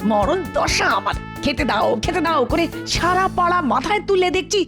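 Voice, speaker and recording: A bossy cartoon village wife, very high in pitch and wildly animated. She scolds with sharp sarcasm in folk-tale style, with music behind her voice. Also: moderate pace. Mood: nagging.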